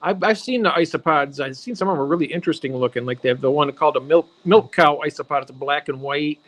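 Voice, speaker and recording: Laid-back male host, slightly high voice, encouraging. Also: quick pace, fairly bright tone. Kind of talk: casual chat.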